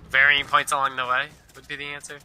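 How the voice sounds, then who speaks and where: A man's voice: medium pitch, completely flat delivery, quick pace, pushed and bright, a little hiss or hum, poor audio. A man chatting casually as he plays along in a video game. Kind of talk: casual gaming chat.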